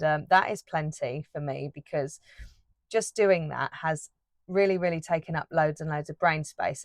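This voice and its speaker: A woman chatting casually while she paints, rambling with lots of fillers and restarts, her voice a little croaky. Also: sits low, no room sound, clean studio sound. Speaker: art YouTuber.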